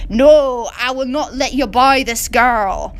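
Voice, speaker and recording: Woman putting on a loud Scottish ogre hero. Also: high voice, slow. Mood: booming anger. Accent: mock Scottish. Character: gallant male ogre, loud, protective, angry Scottish rescuer. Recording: clear background noise.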